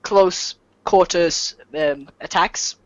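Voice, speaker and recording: A native Swedish speaker running a tabletop game as game master, explaining rules off the cuff in a halting, self-deprecating, jokey way. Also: medium pitch, no room sound, dull audio.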